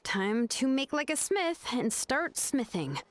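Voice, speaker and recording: An actress playing a laid-back, self-assured young woman smith in a video game, casual and matter-of-fact with thoughtful pauses. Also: sits high, very animated, a little hiss or hum, full character voice. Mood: focused.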